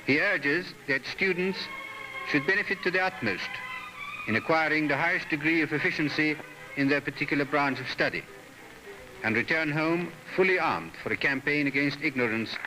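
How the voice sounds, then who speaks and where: A man's voice: a little high, normal speed, rough recording. A man narrating a 1960s newsreel in a formal, stately, declamatory manner. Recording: 1960s newsreel soundtrack with music. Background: background music.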